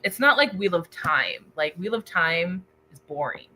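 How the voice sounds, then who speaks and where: A woman hosting a casual book livestream, excitable and chatty as she reacts to viewer comments. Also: on the low side, a little slow, low-quality recording. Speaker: book discussion livestream host.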